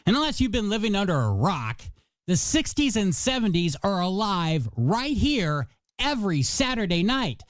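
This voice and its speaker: A man's voice: high-pitched, no room sound, clean studio sound. An American man hosting as a radio DJ, delivering upbeat oldies patter in a jokey, self-mocking showman's manner. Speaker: radio DJ and host. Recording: music playing behind the voice. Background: pop and rock music.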